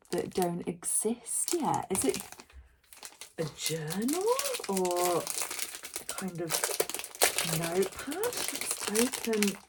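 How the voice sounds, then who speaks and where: A woman's voice: lowish voice, noisy background. A woman giving casual unboxing commentary as a book vlogger, gushing and thinking aloud as she goes.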